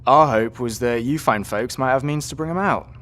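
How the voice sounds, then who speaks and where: An actor playing a breezy, courteous and wry Royal Marines lieutenant in an audio drama. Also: male voice, medium pitch, moderate pace, a bit bright, natural voice. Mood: wry, explanatory.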